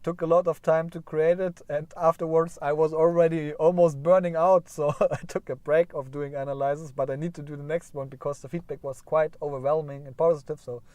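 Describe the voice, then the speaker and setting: A man's voice: a little high, level tone, fairly quick, hardly any pauses, a little mellow, no echo. A man with a German accent hosting a casual livestream, rambling and correcting himself as he chats with viewers. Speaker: livestream host.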